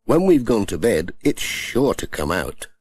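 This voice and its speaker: A man's voice: lowish voice, sing-song, measured pace, a little mellow, slightly rough, no echo, exaggerated voice. A man voicing a cheerful, kindly country postman.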